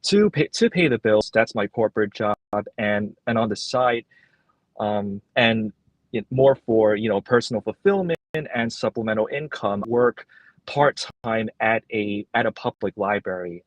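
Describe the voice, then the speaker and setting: A man's voice: fairly low pitch, animated, a little slow, no room sound, low-quality recording. A young man whose first language is Cantonese, earnestly answering interview questions with many fillers and restarts from his workplace library. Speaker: guest.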